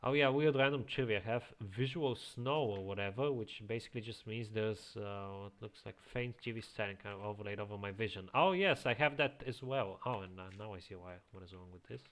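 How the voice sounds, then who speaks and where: A man with a slight Eastern European accent, chatting casually as a streamer, reading chat aloud and chuckling often into a quiet home microphone. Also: lowish voice, fairly quick, few pauses. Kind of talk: casual live-stream chat while playing.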